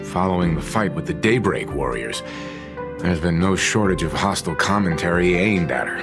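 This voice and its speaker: Steady reflective man, game role: very low voice, composed, over background music. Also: normal speed. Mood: serious. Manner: composed, serious. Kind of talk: video game voice acting. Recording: music under the voice.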